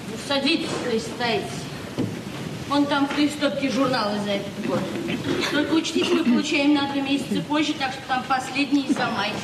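An actress playing a modest, middle-aged provincial librarian, plain-spoken and frank, in a 1970s Soviet radio drama. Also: female voice, high voice, brisk, lightly acted. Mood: brisk, official. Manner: plain-spoken, apologetic, talkative. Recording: noisy old radio recording, echoey, distant.

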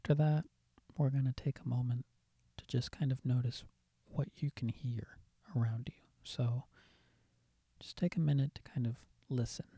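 A soft-spoken man leading a guided meditation with gentle, soothing, calm instructions. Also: medium pitch, moderate pace, husky, no room sound. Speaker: meditation guide, podcast host.

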